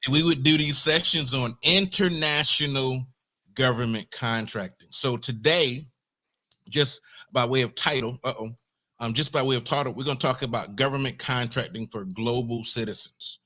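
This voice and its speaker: An American man hosting an interview, introducing his guest in a friendly, relaxed way with short restarting phrases. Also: mid-range voice, normal speed. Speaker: host.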